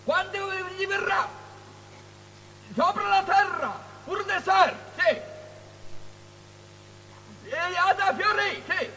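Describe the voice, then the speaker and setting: An actor playing a raving desert prophet crying out from a cistern, declamatory, with halting, stammered repetitions, in avant-garde radio drama. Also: male voice, extremely high pitch, pushed and bright, exaggerated voice. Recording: cavernous long reverb, faint noise.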